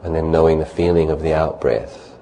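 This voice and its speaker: A man leading a guided meditation as a teacher, speaking calmly and unhurriedly in short phrases with long pauses. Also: very deep voice, rough recording. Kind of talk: guided meditation, spoken instruction. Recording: faint background noise and ambience.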